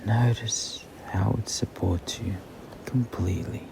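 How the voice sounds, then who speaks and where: A man guiding a meditation in a soothing, breathy near-whisper with long pauses. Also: lowish voice. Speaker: meditation guide. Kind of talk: slow guided meditation. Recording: clearly audible background ambient noise.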